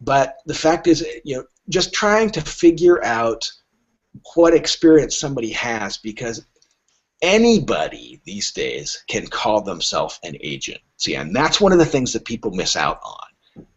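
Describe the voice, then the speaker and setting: A man speaking casually as an interview guest, halting but emphatic, with wry asides. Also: mid-range voice, sing-song, breathy edge. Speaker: podcast guest, literary agent. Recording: dull lo-fi audio.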